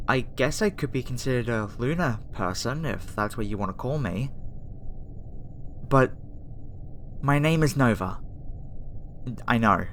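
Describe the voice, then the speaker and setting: A woman voicing a gentle, flustered young female alien scientist in an ASMR roleplay, speaking soothingly and hesitantly with stammers. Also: extremely low pitch, exaggerated voice. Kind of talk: ASMR roleplay audio acting. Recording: faint background noise under the voice.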